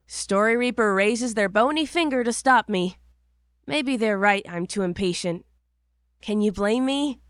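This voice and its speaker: A woman's voice: medium pitch, measured pace, no room sound, studio quality, close to an everyday voice. A woman playing a curious, impatient young apprentice, narrating in the first person from a script. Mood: eager, hushed wonder. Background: music.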